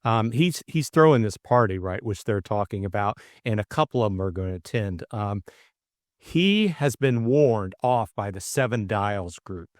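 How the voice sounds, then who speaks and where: A man's voice: fairly low pitch, expressive, normal speed, dry recording, studio quality. A middle-aged man co-hosting a casual book discussion, thinking aloud in a hesitant way. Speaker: audiobook co-host and narrator.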